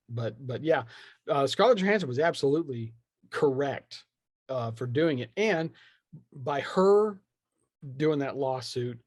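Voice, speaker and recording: A man's voice: fairly high pitch, no room sound, studio quality. A man talking casually and with strong opinions as a podcast guest, slipping into imagined quotes in slangy language. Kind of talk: animated casual conversation.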